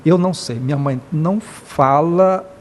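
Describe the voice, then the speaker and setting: Impassioned Brazilian man, halting and earnest. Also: fairly high pitch, darkish tone, slight background noise. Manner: impassioned, many hesitations and repeated "né". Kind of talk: earnest interview talk.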